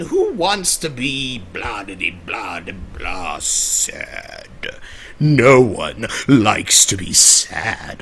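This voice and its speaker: A man playing a centuries-old male trickster from a pony world, talking to an audience in a theatrical, flamboyant, rambling way with a gravelly, husky voice. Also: mid-range voice, a bit bright, exaggerated voice. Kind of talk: live roleplay monologue to an audience.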